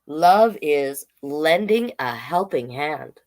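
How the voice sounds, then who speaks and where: A woman hosting a children's storytime online, reading deliberately and adding casual, chatty asides. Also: deep voice, animated, a little mellow. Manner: slow, deliberate read-aloud delivery with chatty asides. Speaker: drag king storytime host.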